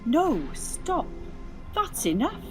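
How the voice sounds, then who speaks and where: Woman as arrested holy man, storybook dialogue, high, pleading, insistent questions, music behind. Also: very animated, drawn-out words, darkish tone, heavily acted. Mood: firm.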